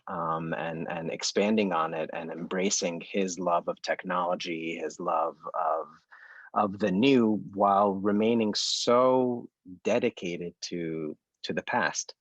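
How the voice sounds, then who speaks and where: A man's voice: deep voice, no room sound. A man from the United States, a creative director, talking earnestly in a casual panel conversation and searching for his words.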